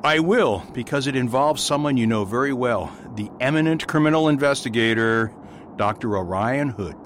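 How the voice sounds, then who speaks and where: A man playing a gentle, thoughtful parish priest in a radio play, speaking in a mild, measured, consoling way. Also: medium pitch, expressive, close to an everyday voice. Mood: musing, amused. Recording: faint background noise.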